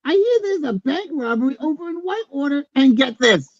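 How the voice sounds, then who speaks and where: Animated, gravelly-edged woman as folksy female gossip, wry, lo-fi recording, comic play reading. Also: high-pitched, fairly quick, no echo. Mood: gossipy. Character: wry, folksy gossip in amateur theater group. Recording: dull, lo-fi video-call audio.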